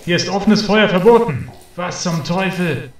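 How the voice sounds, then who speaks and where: A man's voice: high-pitched, moderate pace. Guy playing grumpy station watchman, bossy, roomy echo, bit of noise, audio drama. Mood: indignant, scolding. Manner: grumbling, scolding. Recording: roomy, distant, with ambient noise.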